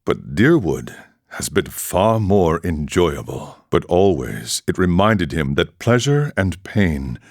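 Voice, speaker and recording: Super deep calm monk for a video game, wise and solemn, measured delivery, really dry sound. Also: male voice, no echo, exaggerated voice. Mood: solemn, serene. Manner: measured, solemn, aphoristic storytelling. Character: weathered philosophical warrior monk, calm and ascetic. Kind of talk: video game character dialogue.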